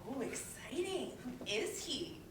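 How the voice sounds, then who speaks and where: A woman's voice: sits high, big pitch swings, takes it slowly, exaggerated voice. A woman playing a breezy, affectionate older sister in a play reading, chatty and natural. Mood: playful, nostalgic. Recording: roomy, distant mic, noisy background.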